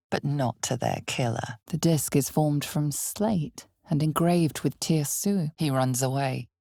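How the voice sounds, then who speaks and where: A grave, ominous female narrator for a dark fantasy video game. Her voice sits very low with a gravelly fry at its edges. She delivers each scripted line slowly and dramatically, with measured weight. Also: no room sound, studio quality, exaggerated voice.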